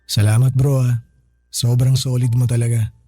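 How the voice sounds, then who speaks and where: A man playing a humbled older brother, a former tough guy, speaking casually and with gruff sincerity in a radio drama. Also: mid-range voice, level tone, moderate pace, warm tone, natural voice. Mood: grateful, lighthearted. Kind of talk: radio drama dialogue, slangy and conversational. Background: background music.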